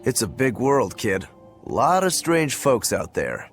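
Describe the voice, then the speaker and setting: A man's voice: mid-range voice, very animated, takes it slowly, faint music behind. A man playing an easygoing, wry young male demon swordsman in a video game, casual and curious. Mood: wry, amused. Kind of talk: video game cutscene acting.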